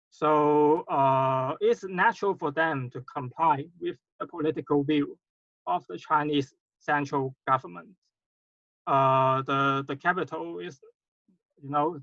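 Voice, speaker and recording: Casting: male seminar speaker, gravelly and halting, dull lo-fi dry sound. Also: a little high, a bit bright, dry recording. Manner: halting, many fillers and repeated words. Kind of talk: online seminar presentation.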